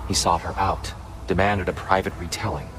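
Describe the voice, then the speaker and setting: An actor playing a gentle, troubled war god in a video game, speaking in a solemn, measured, formal manner. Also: male voice, sits low, steady tone, natural voice, low-quality recording. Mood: pensive. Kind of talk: video game cutscene acting. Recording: music behind the voice.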